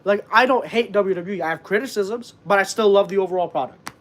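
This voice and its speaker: A man's voice: high voice, brisk, a bit bright. A young man from Canada hosting a wrestling live stream, talking earnestly and rambling with fillers, with a stuffed-up voice. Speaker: wrestling channel host. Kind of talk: casual live-stream chat.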